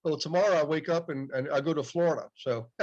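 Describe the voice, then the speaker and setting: A man's voice: a little high, moderate pace. A man in his late fifties, a podcast guest telling stories in a casual, chatty way, often answering "yep". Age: 50s. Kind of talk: casual storytelling interview. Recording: dull, muffled audio.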